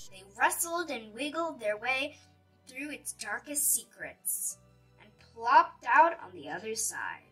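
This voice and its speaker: A woman's voice: high-pitched, bright, forceful tone, far from the mic, poor audio. A woman reading a children's picture book aloud expressively, with dramatic storytelling emphasis.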